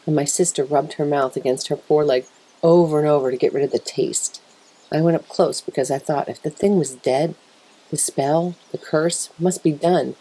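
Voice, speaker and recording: A woman voicing an anguished, plainspoken mother wolf in a dramatic first-person story reading, grave and emotive, with a husky, breathy voice. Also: sits low, warm tone, low-quality recording. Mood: grieving. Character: mother wolf telling of her husband's horrifying change, anguished, plainspoken.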